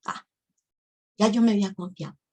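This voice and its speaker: A woman's voice: mid-range voice, normal speed, warm tone. A woman, telling her religious testimony emotionally to a women's ministry. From Costa Rica. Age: 50s. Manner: emotional storytelling, addresses the audience as sisters.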